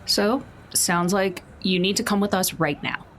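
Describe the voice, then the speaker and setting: A woman's voice: mid-range voice, unhurried, fairly bright tone, slight background noise. A woman playing a tabletop game, speaking casually in character.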